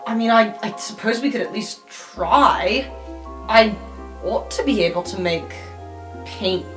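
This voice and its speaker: A woman's voice: mid-range voice, measured pace. A woman playing in an improvised tabletop roleplay podcast, chatting casually in and out of character. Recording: echoey room, music behind.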